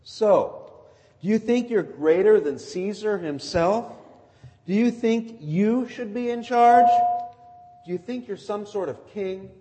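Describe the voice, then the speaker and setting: A woman's voice: medium pitch, slow, mellow, natural voice. A woman voicing a stern, scornful male Roman governor who fires mocking, demanding questions. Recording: long reverb.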